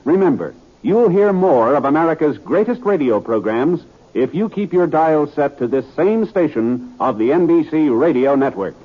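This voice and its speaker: A man's voice: fairly high pitch, expressive, moderate pace. A man reading scripted announcements in a formal, polished 1950s radio network style. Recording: tinny 1950s radio broadcast, music behind.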